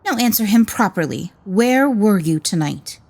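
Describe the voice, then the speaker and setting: A woman's voice: mid-range voice, animated, moderate pace, a little mellow. An actress playing a proper mother who admonishes her teenage daughter in a radio drama. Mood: scolding. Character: polite, scolding mother of a teenage girl.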